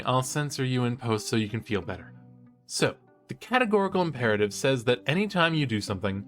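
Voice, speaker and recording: Young male student podcaster man acting, playful and conversational, very animated pitch, audio-drama banter with light music behind. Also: natural voice. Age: young adult. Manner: playful, casual, animated storytelling. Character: cheeky, enthusiastic male college student podcaster.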